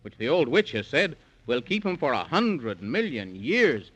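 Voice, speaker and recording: A man's voice: mid-range voice, big pitch swings, measured pace, a bit bright, low-quality recording. A man narrating a children's serial in a dramatic 1930s radio storytelling style.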